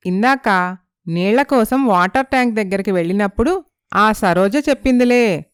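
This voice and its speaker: A woman playing a sympathetic, gently persuasive young female friend in an animated moral story. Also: medium pitch, normal speed, smooth, dry recording, studio quality, lightly acted. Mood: curious.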